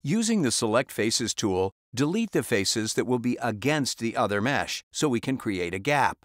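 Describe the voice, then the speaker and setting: Male voice-over for a 3D software tutorial, mid-pitched, calm and instructional, dry close recording. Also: normal speed, no room sound.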